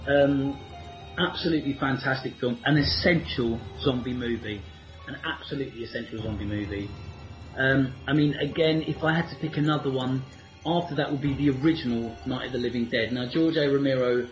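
An enthusiastic British man co-hosting a casual podcast, talking at an ordinary pitch and scattering ums through his chat. His voice comes through a dull, lo-fi recording with faint music underneath. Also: fairly quick. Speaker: co-host.

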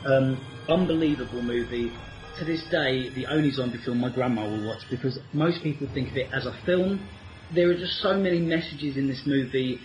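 A man's voice: medium pitch, highs cut off. A British man co-hosting a casual podcast chat, talking enthusiastically with frequent ums. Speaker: co-host.

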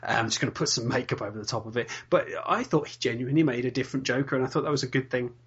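Husky-voiced man in his thirties. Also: medium pitch, very fast, muffled recording. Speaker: podcast host. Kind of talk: casual podcast chat.

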